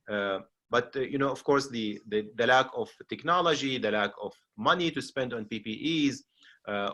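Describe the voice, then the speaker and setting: A middle-aged man with a Syrian Arabic accent, moderating a seminar as a physician and speaking off the cuff with frequent pauses. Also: mid-range voice, pushed and bright. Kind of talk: unscripted seminar discussion.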